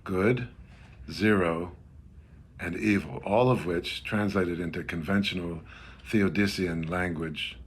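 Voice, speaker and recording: A man's voice: sits low, slow. A man giving a scripted reading of a mock-scholarly literary essay. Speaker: reader of a literary text. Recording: faint background noise.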